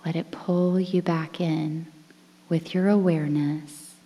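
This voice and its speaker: A woman guiding a relaxation meditation in a soothing, breathy, near-whispered voice with measured phrases and pauses. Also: low-pitched, fairly even intonation, mellow. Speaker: guided meditation instructor. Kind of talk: guided relaxation meditation, softly spoken. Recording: long reverberant hall-like echo.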